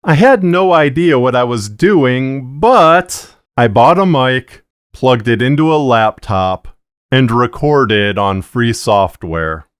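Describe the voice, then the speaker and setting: A middle-aged man narrating a scripted explainer to camera in a measured, deliberate way, close on a broadcast mic in a small treated booth. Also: medium pitch, animated, smooth, no room sound. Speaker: fiction podcaster and narrator. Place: small booth of acoustic blankets, indoors. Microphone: broadcast dynamic mic on boom arm, close.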